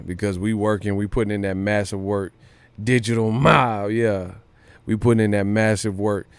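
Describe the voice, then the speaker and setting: A man with a low, smooth voice commentates on a live boxing stream. His delivery is casual and hyped, full of slang and repeated phrases. The sound is dry and close. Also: steady tone, moderate pace, dry recording. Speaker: boxing news livestream host.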